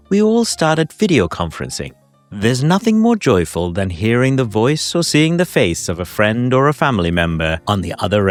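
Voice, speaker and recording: Lively low-voiced male narrator. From Hong Kong. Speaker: podcast host. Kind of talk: scripted narration.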